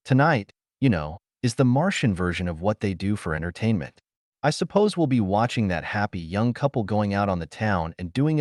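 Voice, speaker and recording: A man's voice: lowish voice, no echo, studio quality. A man playing a flippant, wisecracking television producer in an audiobook, with airy, sarcastic banter. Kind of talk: audiobook character dialogue.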